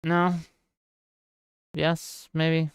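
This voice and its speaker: A man's voice: a little high, completely flat delivery, very slow, clear, resonant tone, no room sound, clean studio sound. A man in his forties hosting a livestream, chatting casually about politics and reading out questions from his live chat. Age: 40s. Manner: conversational, answers chat questions, thinks aloud. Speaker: livestream host.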